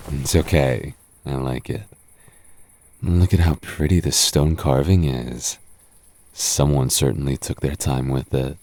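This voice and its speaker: Man, young adult, very low voice, boyfriend roleplay, teasing, stammers when nervous. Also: steady tone, slow pace, pauses often, a little mellow. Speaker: voice actor playing a goth boyfriend.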